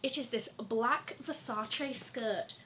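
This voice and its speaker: A young woman, stuffy from a cold, chatting in a gushing, animated way about her luxury fashion wishlist. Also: on the high side, takes it slowly, noisy background. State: congested from a cold. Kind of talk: chatty sponsored wishlist vlog.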